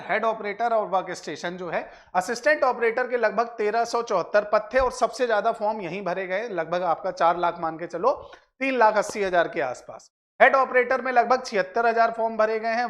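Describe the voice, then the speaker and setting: A man's voice: sits high, a bit bright. A man presents exam analysis like a coach, addressing viewers directly with frequent filler phrases and check tags. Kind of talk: explanatory exam analysis for students.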